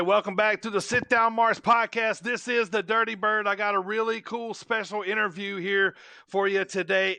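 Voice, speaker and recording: A man's voice: high voice, vocal fry, pushed and bright, clear, resonant tone, no room sound. A man hosting a wrestling podcast in a loose, rambling way, padding his talk with "man" and "you know". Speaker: podcast host. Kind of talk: casual wrestling podcast chat.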